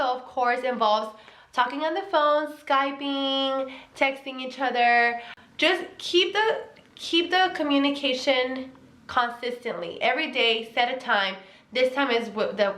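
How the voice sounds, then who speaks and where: A woman's voice: a little high, a bit bright. Earnest young woman with a Mexican accent. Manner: earnest, emphatic, repeats key words. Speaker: vlogger giving relationship advice. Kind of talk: casual advice vlog to camera. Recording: echoey room.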